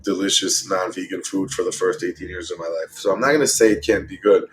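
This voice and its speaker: A man's voice: deep voice, steady tone, a little hiss or hum, poor audio. A man in his thirties from Israel and New York, a vegan chef on a casual podcast, talking emphatically and with plenty of swearing. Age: 30s. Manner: emphatic, profane, lists things rapidly.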